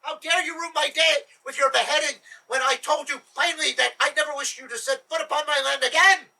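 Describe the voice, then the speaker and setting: A man voicing a pompous, furious sultan who shouts his commands, recorded from a distance. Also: high voice, sing-song, a little slow, slightly rough, full character voice. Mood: raging. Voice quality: shouting, imperious.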